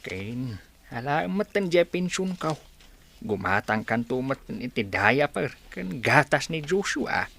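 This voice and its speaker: A man's voice: mid-range voice, very animated, unhurried, vocal fry. An actor playing a doting, folksy old grandfather in an Ilocano-accented radio drama. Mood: interested, pleased. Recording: noisy ambience behind voice.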